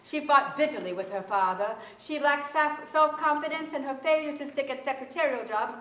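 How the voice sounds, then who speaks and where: A woman's voice: high-pitched, moderate pace, a bit bright. A mature woman giving a dramatic reading from a script on a theatre stage. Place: theatre stage before an audience. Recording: roomy theatre stage sound.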